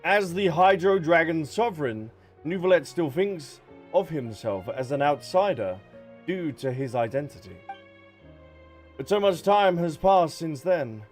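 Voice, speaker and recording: High-voiced cheeky male game streamer. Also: animated, measured pace, faint music behind. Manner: cheeky, reactive. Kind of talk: casual livestream commentary with playful banter.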